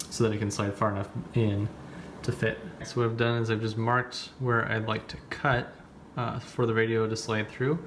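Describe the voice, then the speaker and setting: A man giving a casual, low-key hands-on tutorial in a plain explaining way, on a noisy amateur recording. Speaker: DIY car video host.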